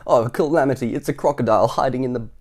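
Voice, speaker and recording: A man's voice: mid-range voice, fast, darkish tone, natural voice. A man voicing a timid, nervous little man who stammers and shrieks in a children's storybook reading. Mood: panicked.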